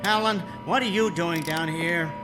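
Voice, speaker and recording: A man's voice: fairly high pitch, a little slow, music in the background, natural voice. A man acting in a radio drama as an earnest, henpecked husband and amateur scientist, loyal and defiant, speaking emphatically. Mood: tense, awkward.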